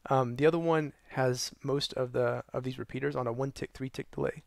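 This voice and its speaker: A young man narrating a gaming tutorial while losing his voice, hoarse and tired, rambling with many ums and uhs. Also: steady tone, a little fast, no echo. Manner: tired, low energy. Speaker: gaming channel host. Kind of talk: casual tutorial narration over gameplay.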